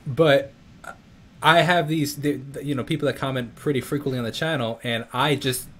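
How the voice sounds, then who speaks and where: A man's voice: medium pitch, fairly even intonation, normal speed, fairly bright tone, a little hiss or hum. A young man with a New York accent hosting a casual interview for his music channel over a video call. He rambles and restarts his sentences often, with plenty of fillers.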